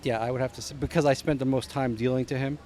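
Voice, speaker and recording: A middle-aged man from New Jersey talks as a podcast guest, wandering through stories and piling up names with plenty of pauses. His voice sits at an ordinary pitch. A faint hum of city ambience runs underneath. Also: steady tone, darkish tone. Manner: rambling storyteller, drops names, trails off with "uh". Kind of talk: casual chat.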